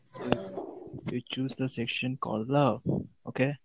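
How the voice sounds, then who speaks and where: A man's voice: medium pitch, steady tone, unhurried, background noise. A man from India hosting a casual online voice call chat, often repeating himself over a bad line. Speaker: podcast host. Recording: dull lo-fi online call audio.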